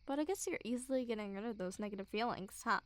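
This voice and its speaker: A woman's voice: on the high side, fast, no echo, lightly acted. A young woman playing a smug, mocking school bully girl who teases with a hint of care, in an intimate ASMR roleplay. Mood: grudging, softer. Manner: mocking, teasing, giggly. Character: teasing, smug school bully girl, secretly a little caring. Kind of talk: ASMR girlfriend roleplay, intimate one-sided dialogue.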